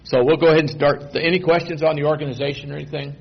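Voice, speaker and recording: A man's voice: fairly high pitch, racing, vocal fry, husky. Older man presenting, lo-fi. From Texas. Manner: relaxed, rambling, self-correcting. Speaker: guest presenter. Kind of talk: informal presentation to a committee. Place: meeting room. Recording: dull lo-fi public meeting recording.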